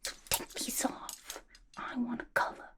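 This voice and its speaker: A woman's voice: a little slow, close to an everyday voice. A woman playing a manic, chatty, mischievous young female villain in an asylum, in a playful, slangy ASMR roleplay whisper. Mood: petulant, wheedling. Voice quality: breathy whisper. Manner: playful, slangy, conspiratorial gossiping.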